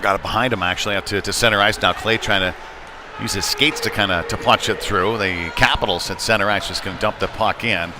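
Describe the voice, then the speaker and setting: Male hockey commentator, lower register, conversational live call with hesitant pauses, clearly noisy rink backdrop. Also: steady tone. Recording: noisy indoor rink ambience.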